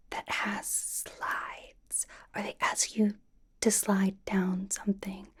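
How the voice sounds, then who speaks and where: A woman plays a chatty young female K-pop idol who leans in to share advice in a very breathy whisper. She rambles casually and confidingly, slow and soft, in an intimate ASMR roleplay. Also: mid-range voice. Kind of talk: ASMR personal attention roleplay.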